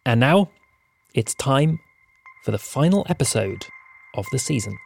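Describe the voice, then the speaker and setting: A man's voice: mid-range voice, animated, measured pace, vocal fry, faint music behind. A man giving a scripted podcast introduction as its creator, with earnest, emphatic phrasing.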